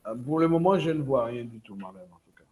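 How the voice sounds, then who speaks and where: A man speaks as the host of an online conference, giving a spoken introduction at a middling pitch. He pauses mid-phrase and restarts, talking over a video call. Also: lively intonation, darkish tone.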